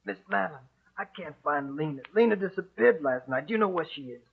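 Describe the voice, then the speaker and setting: Gravelly high-voiced anxious manservant. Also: male voice, expressive, fast, exaggerated voice. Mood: worried, puzzled. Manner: deferential, urgent, simple broken phrasing. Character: anxious, deferential male servant searching for his missing sweetheart. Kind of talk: old-time radio drama acting. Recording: muffled scratchy old radio broadcast.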